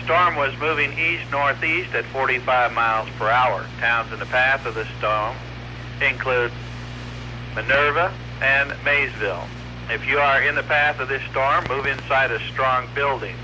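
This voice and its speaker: A man's voice: mid-range voice, unhurried. A man reading a scripted storm warning as a weather radio announcer. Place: radio broadcast, off air. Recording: noisy, humming 1990s weather radio broadcast.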